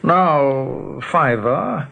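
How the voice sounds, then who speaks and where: A man's voice: medium pitch, lively intonation, gravelly, sharp tone, heavily acted. An actor playing an old male rabbit chief in a radio drama, polite, patronising and faintly pompous. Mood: polite, condescending. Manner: courtly, patronising, deliberate.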